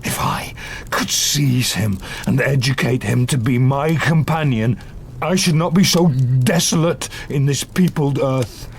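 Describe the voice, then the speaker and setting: Slow, gravelly, anguished monster. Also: male voice, mid-range voice, slight background noise. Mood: haunted recollection. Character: wretched, vengeful male man-made monster, lonely and bitter. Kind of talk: gothic audio drama acting.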